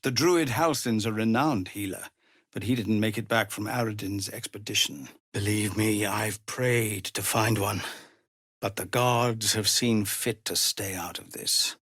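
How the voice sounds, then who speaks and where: A male actor playing a weary, honourable leader of embattled refugees in a video game, grave, measured and formal, with a husky voice that turns gravelly under strain. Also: fairly low pitch, a bit bright, no room sound, studio quality. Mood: solemn, frank. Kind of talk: dramatic game dialogue.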